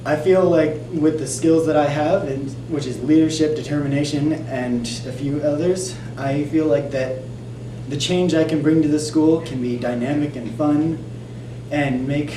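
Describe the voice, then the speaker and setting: A man's voice: on the high side, a little slow. A teenage boy student answering interview questions in an upbeat, slightly showy way. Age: teens. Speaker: student candidate. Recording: echoey room, distant mic, noisy.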